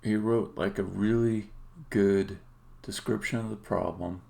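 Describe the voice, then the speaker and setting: A man talking casually and haltingly as he thinks aloud on a live coding stream, with some words stressed emphatically. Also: fairly low pitch, fairly even intonation, creaky, a little mellow. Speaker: game developer livestreaming.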